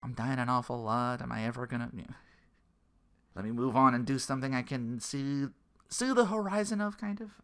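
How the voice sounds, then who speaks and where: A man's voice: medium pitch, brisk, fairly bright tone, clean tone. A man hosting a gaming podcast in a casual, rambling, slangy monologue, often acting out imagined quotes. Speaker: podcast host.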